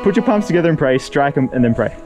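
A man's voice: a little high, expressive, fast talker. A man hosting a hiking vlog outdoors, chatting casually, swearing freely and switching between English and Japanese. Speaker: vlog host. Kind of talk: casual travel vlog chat. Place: outdoors on a forested mountain trail. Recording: outdoor vlog audio with music underneath.

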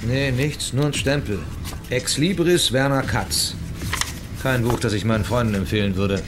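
An actor playing a dry, sardonic man who speaks with condescending, deadpan wit in an audio drama. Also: medium pitch, normal speed, natural voice.

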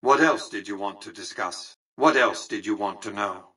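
An actor voicing a haughty, calculating tycoon in a video game, with a formal, clipped and condescending manner. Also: male voice, lowish voice, normal speed, bright, forceful tone, breathy edge, distant mic. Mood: dry, haughty. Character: haughty, calculating male tycoon and casino ruler. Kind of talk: video game dialogue acting.

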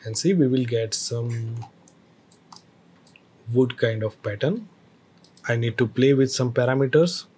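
A man teaching a screen-recorded software tutorial, explaining each step with hesitant phrasing and fillers. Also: mid-range voice, measured pace, pauses often, slight background noise.

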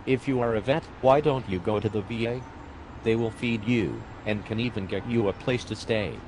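A man's voice: lowish voice, moderate pace, darkish tone, a little hiss or hum, close to an everyday voice, low-quality recording. A man voicing a weary, sarcastic fire medic. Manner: dry, sarcastic, exasperated. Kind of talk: amateur animated comedy voice.

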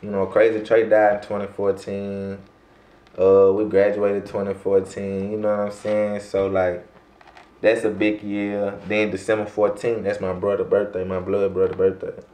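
A young man from Louisiana, a rapper talking casually in an interview, rambling and heavy on slang with frequent throat clearing. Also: on the low side, completely flat delivery, normal speed, warm tone. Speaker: rapper guest. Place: small room, seated in armchair. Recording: echoey room.

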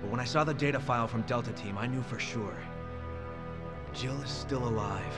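A man's voice: medium pitch, normal speed, close to an everyday voice. An actor playing a determined, haunted soldier in his thirties in a video game cutscene, speaking in a grave, terse way. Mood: grave, resolute. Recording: game audio under loud music.

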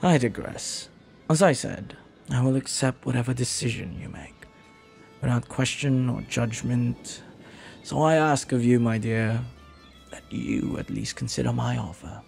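A man playing a flirty, lovelorn pirate captain in a romantic audio roleplay, speaking softly and earnestly with hesitant, lingering pauses. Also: medium pitch, gravelly, soft background music. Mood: earnest, hopeful.

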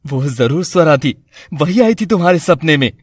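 A man's voice: on the high side, lively intonation, moderate pace, slightly rough, no echo, studio quality. A man voicing an earnest, persuasive, protective husband and father in a cartoon story. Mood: concerned, reassuring.

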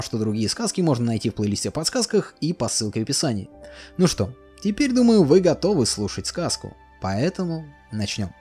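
A male storyteller reads a fairy tale with lively, expressive delivery. His voice sits a little high for a man yet sounds mellow and warm, swinging widely in pitch. Gentle music plays softly beneath him. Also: slightly rough. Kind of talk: storybook narration. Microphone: voice-over. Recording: soft music behind.

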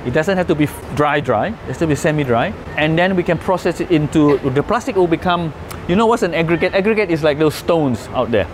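Middle-aged male presenter, slightly high voice, halting and plain-spoken, faint hiss behind. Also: expressive. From Malaysia. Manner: hesitant, restarts often, plain explanatory. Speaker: conservation speaker. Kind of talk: presentation with audience Q&A.

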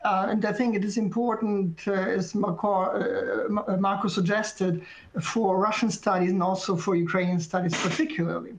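A man's voice: high-pitched, little pitch movement, moderate pace, gravelly, slight background noise, rough recording. A man in his thirties speaking as a discussant on a video call, hesitant, with stumbling restarts. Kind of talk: panel discussion remarks. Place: indoor office with bookshelves. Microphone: video call webcam.

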